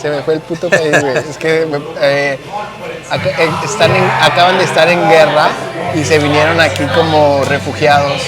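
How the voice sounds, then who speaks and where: A Mexican man with a slightly raised voice talks in a lively, slangy way. He stops often to hunt for words, filling the gaps with ums and ehs. Clear music plays underneath the whole conversation. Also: on the high side, normal speed, a loud crowd. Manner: animated, slangy, searches for words with many fillers. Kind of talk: casual conversational chat.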